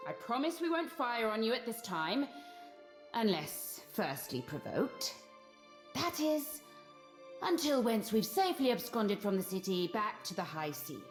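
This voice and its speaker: A woman's voice: a little high, sing-song, a little slow. Swaggering game pirate captain, female: bold, mocking, drawling and theatrical, faint music underneath. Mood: swaggering, threatening. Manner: drawling, theatrical, archaic flourishes. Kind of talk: video game character acting.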